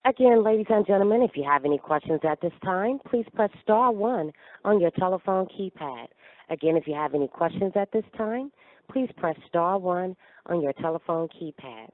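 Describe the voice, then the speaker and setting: Low-pitched female operator on a phone line. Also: lively intonation, moderate pace, dry recording. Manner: formal. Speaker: conference call operator. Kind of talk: scripted announcements. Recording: dry, narrow phone line.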